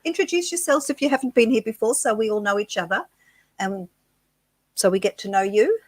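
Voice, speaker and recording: A woman's voice: a little high, lively intonation, brisk, no echo. A woman co-hosting a casual livestream, chiming in and welcoming viewers. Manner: friendly interjections, welcoming viewers. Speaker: co-host.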